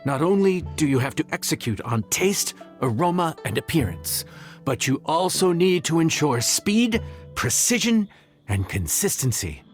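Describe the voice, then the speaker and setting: An actor playing an affable, patient inn cook who explains things in an encouraging, folksy way in a video game. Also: male voice, a little high. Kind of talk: video game cutscene acting.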